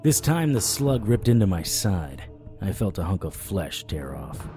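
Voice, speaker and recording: Low-voiced male gumshoe, wisecracking monster hunter, noir radio-play delivery, music underneath. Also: very animated, measured pace. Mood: grim, weary. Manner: sardonic, dramatic. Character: hard-boiled, wisecracking male vampire-hunting private eye. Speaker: narrator and lead actor. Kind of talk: first-person noir audio drama narration. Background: dramatic music.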